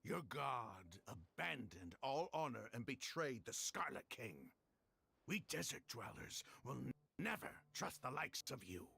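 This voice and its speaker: Gravelly, scornful desert mercenary. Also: male voice, medium pitch, sing-song, a little slow, sharp tone, full character voice. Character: proud hostile male desert mercenary leader. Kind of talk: video game dialogue.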